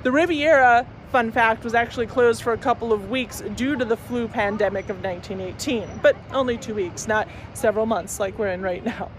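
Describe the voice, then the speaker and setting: A young woman tour guide talks to her phone camera on a city street, chatty and enthusiastic, with little asides. Her voice sits on the higher side. Street noise and passing traffic carry clearly under her. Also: brisk, few pauses. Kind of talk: walking-tour presentation to camera. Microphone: phone camera at arm's length.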